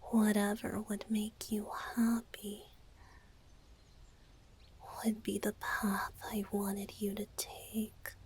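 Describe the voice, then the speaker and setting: A woman voicing a tender, playful young male bard spirit in a soft, breathy whisper for a romantic ASMR roleplay, speaking in a halting, heartfelt way. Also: medium pitch, completely flat delivery, a little hiss or hum, close to an everyday voice. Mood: tender, confessional.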